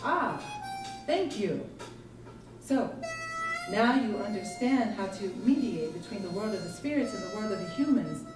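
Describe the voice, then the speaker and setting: A woman voicing a suave, mysterious male spirit with a measured, knowing manner in a live story reading. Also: medium pitch, expressive, lightly acted. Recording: roomy distant live recording with loud music.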